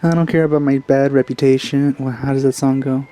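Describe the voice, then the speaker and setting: Mellow, laid-back man commenting on a game. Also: mid-range voice, level tone, brisk, soft background music. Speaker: let's play commentator. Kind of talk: casual gameplay commentary.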